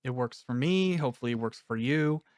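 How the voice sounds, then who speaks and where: Male interviewer-author, mid-pitched smooth voice, dry sound, reflective and candid casual talk. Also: animated, brisk, a little mellow, dry recording. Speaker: author interviewing a cast member.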